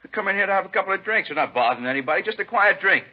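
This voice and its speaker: Rapid-fire, husky, indignant suspect. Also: male voice, sits high, animated. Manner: slangy, loud, aggrieved. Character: indignant, mouthy bar suspect protesting innocence. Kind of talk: 1950s radio crime drama acting. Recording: dull 1950s radio recording.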